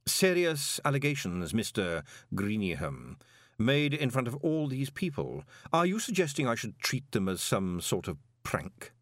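Narrator playing a cool, menacing ruler; level, measured, dry close sound. Storybook. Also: male voice, medium pitch, dry recording. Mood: cold. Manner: calm, measured, quietly menacing. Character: calm, icy, commanding male ruler.

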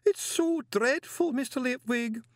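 A man's voice: sits high, wildly swooping pitch, normal speed, fairly bright tone, husky, no echo, studio quality, voice pushed up, full character voice. A man voicing a prim, melodramatic older woman in an audiobook, wailing and sobbing in tearful distress. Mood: sobbing despair.

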